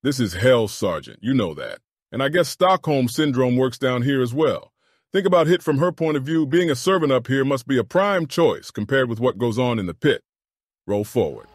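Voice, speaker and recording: A man's voice: medium pitch, very animated, moderate pace, dry recording, studio quality. A man playing a firm, decisive army colonel in an audiobook drama, giving orders with authority. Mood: commanding.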